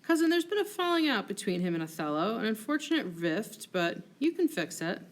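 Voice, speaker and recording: Woman reading a gentle, dutiful wife. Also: on the high side, sing-song, a little fast, voice pushed up. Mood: sincere concern. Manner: sweet, sincere, submissive. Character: gentle, dutiful young noblewoman, wronged wife.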